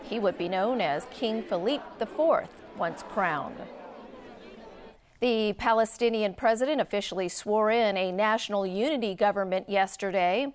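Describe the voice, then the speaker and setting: A woman's voice: mid-range voice, a little slow. A woman reading scripted television news as the anchor.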